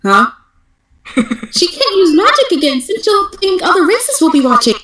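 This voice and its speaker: A woman voices an excitable young anime heroine with a very high pitch. Her delivery is animated, naive and easily indignant. The sound is echoey, like an amateur online table read. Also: normal speed, heavily acted. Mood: excited. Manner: exclaiming, dramatic, expressive. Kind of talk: amateur anime fandub script reading. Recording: echoey home voice-chat stream.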